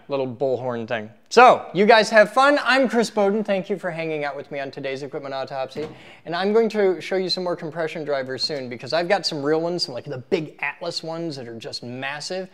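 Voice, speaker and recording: A man hosting an equipment teardown, talking informally and casually to viewers and to himself as he works with his hands. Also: fairly high pitch. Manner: informal, muttering to himself while working, animated when addressing viewers. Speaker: host of an equipment teardown video series. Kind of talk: casual hands-on demonstration, talking while working. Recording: clear background noise, handling clicks.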